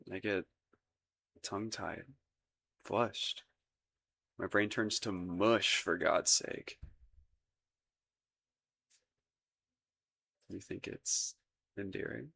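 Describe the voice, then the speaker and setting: A man's voice: lowish voice, gravelly, pushed and bright, breathy edge, full character voice. A young man voicing a shy, awkward crown prince in a romantic audio roleplay, stumbling over his words out of embarrassment. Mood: sheepish. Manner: flustered and self-deprecating, stumbling over words. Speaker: ASMR voice actor.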